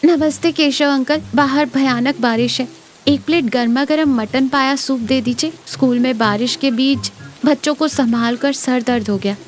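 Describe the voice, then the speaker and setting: Woman as a high-voiced prim young schoolteacher. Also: moderate pace, natural voice. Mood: weary, polite. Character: prim young schoolteacher, 23, dutiful but secretly fed up.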